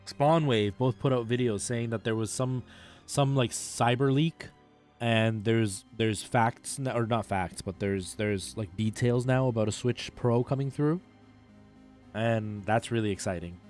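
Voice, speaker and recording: A man chatting casually and a bit haltingly while he streams a game, thinking aloud in an informal way. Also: mid-range voice, a little mellow. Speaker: live streamer. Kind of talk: casual chat while gaming. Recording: music playing behind the voice.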